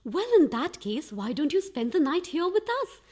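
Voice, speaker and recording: An actress playing a suspicious, imperious wife in a radio farce, with a haughty, sarcastic and sharp-tongued manner. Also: female voice, high voice, big pitch swings, moderate pace. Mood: mocking. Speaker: actor.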